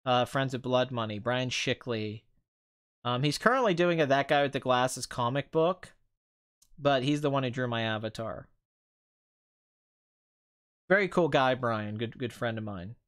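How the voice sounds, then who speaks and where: A man with a mid-pitched, clear voice hosts a live stream in a casual, conversational way. He rambles through his opinions informally. His throat sounds slightly strained, as if he has been talking for a long time. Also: animated, unhurried, pauses often, a bit bright. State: voice tiring and strained after two hours of talking. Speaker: live stream host, film commentator.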